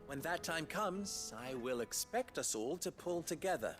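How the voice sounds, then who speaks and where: An actor playing an upright, wry town leader in a fantasy game, speaking in formal, eloquent dialogue. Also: male voice, a little high, expressive, unhurried, a bit bright, soft background music. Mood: firm, measured. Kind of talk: dramatic video game dialogue.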